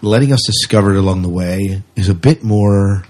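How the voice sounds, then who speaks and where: A man with a low, slightly rough and husky voice co-hosts a podcast. He chats casually and unscripted, often doubling back to repeat a phrase. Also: a little fast, a little mellow. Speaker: podcast co-host.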